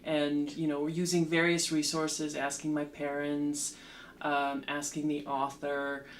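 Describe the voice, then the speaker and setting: Woman, unhurried delivery, mid-pitched, faint hum behind, thoughtful interview guest. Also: monotone. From Slovakia. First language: Slovak. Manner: measured, with frequent ums and restarts. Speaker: translator and lecturer, guest. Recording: roomy echo with faint background hum.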